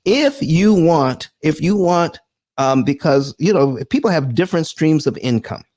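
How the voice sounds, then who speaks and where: A man's voice: fairly high pitch, sing-song, a little slow, darkish tone. A man hosting a live finance show who talks emphatically, repeats himself and calls the audience folks. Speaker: host.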